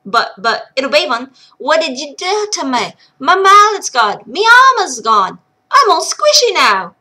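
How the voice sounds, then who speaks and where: A woman doing a gruff old mountain hermit. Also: a little high, animated, moderate pace. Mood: distraught. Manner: shouty, blustering.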